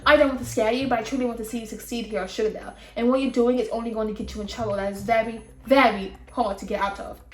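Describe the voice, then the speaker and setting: A woman voicing a stern, formal male professor in a story game, speaking deliberately as if lecturing. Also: a little high, steady tone, close to an everyday voice. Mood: grave warning. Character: stern, measured male professor, older, cautioning and intense. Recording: roomy echo, music behind.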